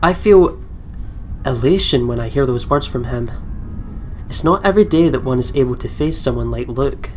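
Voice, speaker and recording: A man's voice: mid-range voice, expressive, normal speed, slight background noise. A man doing let's play commentary and reading a game's text aloud in a chatty, reactive way. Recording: music behind the voice. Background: game music.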